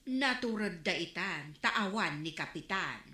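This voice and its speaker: A woman's voice: fairly low pitch, expressive, measured pace, bright, forceful tone, reverberant. An actress playing a stern village councilwoman scolding drinkers in a comedic drama. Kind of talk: comedic radio drama acting.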